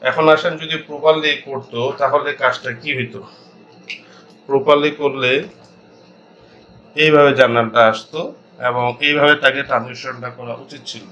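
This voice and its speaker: A man with a Bangladeshi accent explaining as an accounting instructor in an informal tutorial, haltingly thinking aloud and mixing in English terms. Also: mid-range voice, steady tone, bright, forceful tone, dull audio.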